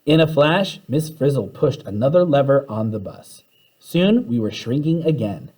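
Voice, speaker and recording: A man reading a children's story aloud with expressive, dramatic narration. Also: medium pitch, moderate pace, breathy edge, rough recording. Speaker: story reader.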